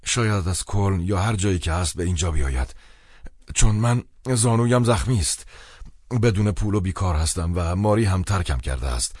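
A man's voice: deep voice, lively intonation, a little mellow, breathy edge, dry recording, clean studio sound, pitched above the performer's own voice. A man voicing a hard-up, sardonic man in an audiobook, insistent and exclamatory as he presses his brother on the phone. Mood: pleading. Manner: insistent, exclamatory, wryly mocking.

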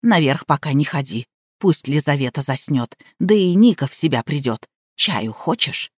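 Woman acting a low-voiced, matter-of-fact housemaid. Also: animated, moderate pace, breathy edge, dry recording, clean studio sound. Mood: calm, matter-of-fact. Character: down-to-earth, philosophical housemaid woman, brisk and familiar.

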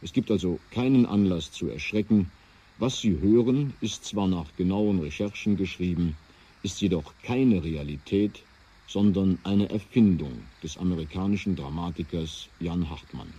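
A man presenting on radio as the programme's editor, giving a formal, calm, explanatory announcement. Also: sits low, normal speed, slightly rough. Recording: dull, lo-fi radio broadcast.

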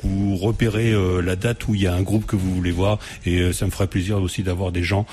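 A man's voice: sits low, flat delivery, fairly quick, darkish tone. A man hosting a live music show in a radio studio, chatty, laughing often and using many fillers.

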